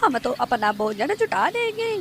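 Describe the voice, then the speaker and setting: Lady doing a snooty crow wife in a kids cartoon, highish and swoopy, bit of noise. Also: big pitch swings, a little slow, a bit bright, full character voice. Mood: mocking. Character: mocking female crow, haughty mate of the crow. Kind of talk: children's cartoon dubbing.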